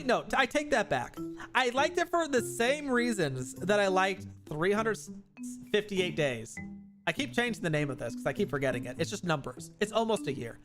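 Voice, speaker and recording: A man with a high-pitched, very lively voice hosts a casual gaming stream. He talks in a chatty, rambling way, often correcting himself as he goes. Music plays clearly behind his voice. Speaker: livestream host. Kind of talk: casual gaming commentary, reviewing and ranking games. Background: background music.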